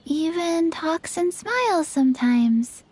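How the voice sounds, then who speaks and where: A woman voicing a quiet, earnest little child in a video game, speaking in short simple phrases. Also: very high-pitched, lively intonation, slow, a little hiss or hum, full character voice. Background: faint children's game music.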